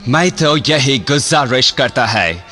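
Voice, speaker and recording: An actor playing a British colonial police commissioner in a radio play, formal and halting, speaking British-accented Hindi mixed with English. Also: male voice, mid-range voice, animated, pushed and bright, exaggerated voice. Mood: urgent, pleading. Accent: British-style accented Hindi, put on. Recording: music behind the voice.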